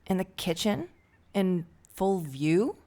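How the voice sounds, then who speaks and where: A woman's voice: fairly low pitch, expressive, slow pace, dark tone. A woman playing a scornful, nagging mother in an audio drama, speaking critically and with disapproval. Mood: disapproving.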